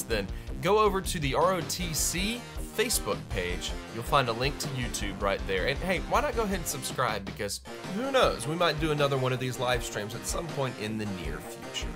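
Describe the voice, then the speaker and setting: A man hosting a podcast live stream in casual chat, with a stuffy, congested voice, rambling and laughing often. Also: medium pitch. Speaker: podcast host.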